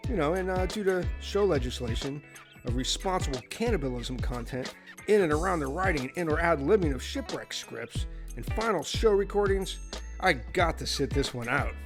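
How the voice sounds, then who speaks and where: A man's voice: fairly high pitch, expressive, normal speed, music in the background. A man playing a mock-official, excuse-making castaway reading a statement in a comic radio play.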